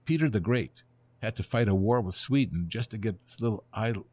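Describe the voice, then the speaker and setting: A man in his seventies whose first language is Ukrainian, speaking as an interview guest and explaining history in an unhurried, storytelling way. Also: fairly low pitch, animated, darkish tone, a little hiss or hum. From born in Germany, raised in the United States. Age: 70s.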